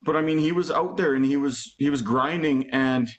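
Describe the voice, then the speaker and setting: A man's voice: a little high, normal speed. Rambling man in his forties. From Alberta, Canada. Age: 40s. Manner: rambling, full of "you know" and "like". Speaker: guest drummer. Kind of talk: casual chat. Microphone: video call.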